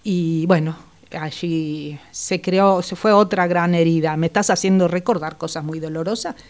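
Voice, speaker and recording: A woman's voice: lowish voice, steady tone, normal speed, a little mellow. Older woman, reflective and hesitant. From Italy. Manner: reflective, hesitant with frequent fillers. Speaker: psychologist guest. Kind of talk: interview, personal recollection.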